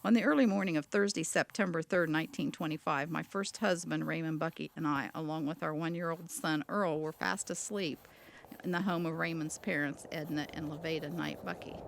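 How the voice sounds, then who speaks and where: A woman acting a storyteller looking back on her past in an audio drama. Her voice is creaky and measured, unfolding the memories deliberately. Clear noise sits behind the recording. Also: sits low, fairly even intonation. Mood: solemn recollection. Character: older woman recalling the airship wreck she witnessed. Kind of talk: scripted first-person narration.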